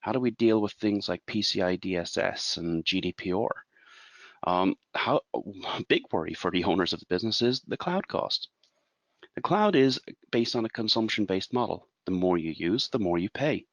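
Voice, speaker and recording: An Irish man in his forties presents a webinar as a consultant, explaining in a measured way and asking rhetorical questions. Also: deep voice, expressive, fairly bright tone, no echo. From Ireland.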